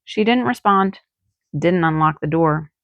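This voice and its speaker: Woman doing audiobook narration, expressive storytelling, lowish creaky voice, really dry sound. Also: measured pace, no echo, lo-fi sound. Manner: dramatic, acts out dialogue. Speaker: author reading own novel.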